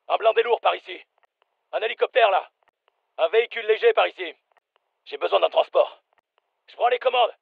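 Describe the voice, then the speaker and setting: An actor playing a tough, no-nonsense special forces soldier in a shooter game, giving terse, matter-of-fact callouts. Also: male voice, high voice, a little fast, vocal fry, pauses often, no echo, narrow, tinny audio, exaggerated voice. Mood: businesslike. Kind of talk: military shooter game voice lines, French dub.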